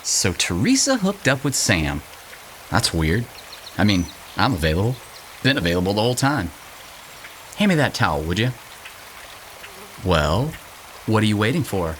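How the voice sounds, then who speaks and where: Man as a low-voiced, cheeky wisecracking rogue. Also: very animated, normal speed, long pauses, a bit bright. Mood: coaxing, playful. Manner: casual, joking, irreverent. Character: cheeky, flirtatious, wisecracking male drifter. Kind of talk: naturalistic audio drama acting. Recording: rain-like background noise.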